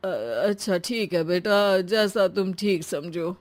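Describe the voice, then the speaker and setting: A woman playing a mild, approving elderly father in a story, speaking gently and slowly. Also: mid-range voice, steady tone, lightly acted. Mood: mild, yielding.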